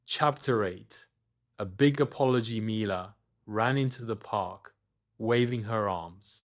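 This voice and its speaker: Slow-paced male bedtime storyteller. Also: on the low side. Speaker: storyteller, narrator. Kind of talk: scripted children's bedtime story narration.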